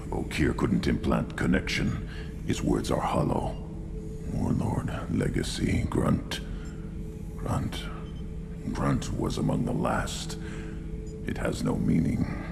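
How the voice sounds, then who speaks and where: An actor voicing a young, hulking alien warrior in a video game, with a gravelly growl and blunt, halting, menacing speech. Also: male voice, rumbling low, animated, heavily acted. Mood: menacing, searching. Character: young tank-bred male alien brute warrior, hulking, blunt, proud. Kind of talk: video game character acting. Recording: music behind.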